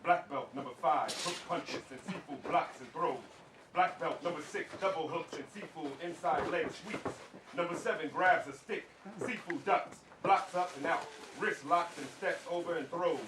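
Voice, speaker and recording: A man's voice: fairly high pitch, normal speed. A man reading scripted stage directions for fight action aloud to a room. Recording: echoey room, distant mic, clear noise.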